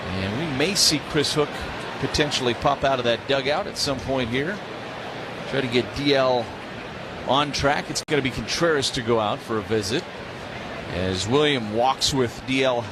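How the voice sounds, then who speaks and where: An American man calling a baseball game live on the radio as play-by-play announcer. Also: mid-range voice. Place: stadium broadcast booth during a game. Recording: clear crowd noise behind the voice. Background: ballpark ambience.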